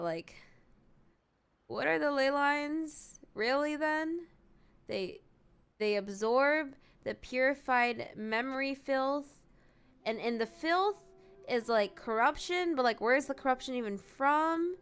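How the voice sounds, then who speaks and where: A woman's voice: high voice, slow, a bit bright, clean tone. A woman streaming a game, giving casual reaction commentary and thinking aloud in an informal, rambling way.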